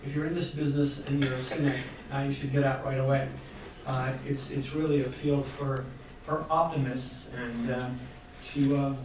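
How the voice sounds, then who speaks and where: An older man, born in Iran, moderating a university panel in a measured, hesitant way with many fillers. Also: mid-range voice, a little hiss or hum. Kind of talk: public panel talk. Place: university lecture hall. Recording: echoey hall from far off.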